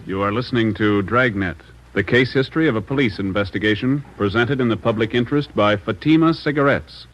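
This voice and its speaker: A man announcing a 1950s radio drama in a formal, measured way. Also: fairly low pitch, highs cut off. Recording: old radio broadcast with music.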